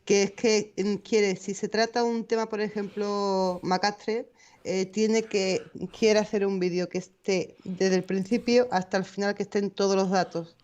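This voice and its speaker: A woman's voice: medium pitch, fairly even intonation, unhurried, darkish tone. A woman co-hosting a casual livestream chat, reading out viewer comments with small hesitations.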